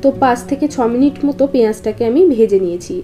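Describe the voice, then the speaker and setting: A woman's voice: a little high, animated, fast talker, mellow. A woman narrating a recipe step by step as a cooking host, in an instructional, explanatory way. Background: guitar background music.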